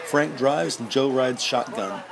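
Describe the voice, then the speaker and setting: A man narrating a scripted crime audio drama in the present tense, measured and descriptive. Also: mid-range voice, gravelly, a crowd in the background. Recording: faint music behind.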